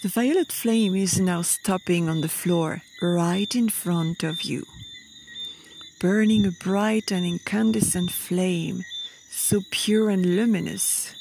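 A woman leading a guided meditation in a soft, breathy, soothing voice, with short phrases and long pauses. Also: fairly low pitch, a little hiss or hum. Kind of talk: slow guided meditation narration.

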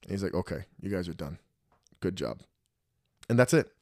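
A man in his thirties telling stories in a casual podcast chat, profane and animated. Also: deep voice, moderate pace, dry recording, clean studio sound. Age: 30s. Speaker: podcast guest.

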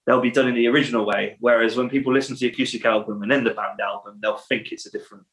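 A man's voice: mid-range voice, steady tone, rapid-fire, a bit bright. A man from a band, chatting in a podcast interview, rambling and correcting himself with many ums. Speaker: band member.

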